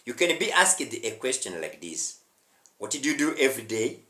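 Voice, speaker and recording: A man's voice: medium pitch, big pitch swings, normal speed, pushed and bright, reverberant. Emphatic Rwandan man teaching English. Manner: emphatic, checks in with "huh?" and "hmm?". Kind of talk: spoken English lesson.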